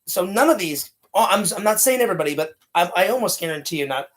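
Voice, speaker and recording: A man in his late thirties, co-hosting a casual chat with a loud, energetic, rambling way of talking. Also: high voice, fast. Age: 30s. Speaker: podcast co-host.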